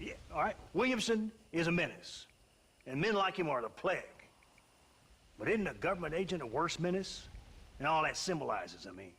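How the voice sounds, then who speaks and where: A man's voice: on the high side, gravelly, a bit bright, slight background noise. An actor playing a stern, opinionated older American rancher and father in a western video game, speaking in a folksy, weighty, speechifying way. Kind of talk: video game cutscene acting.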